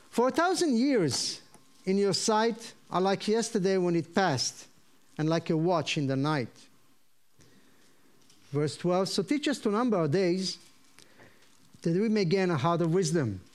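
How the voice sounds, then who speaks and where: This is a man with an Israeli accent, a native Hebrew speaker, giving a sermon. His voice sits high and lifts when he teaches the Hebrew words to the room. He hesitates often with fillers. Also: measured pace, pauses often. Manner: hesitant with many fillers, then animated teaching. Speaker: visiting pastor. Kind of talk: sermon to a congregation.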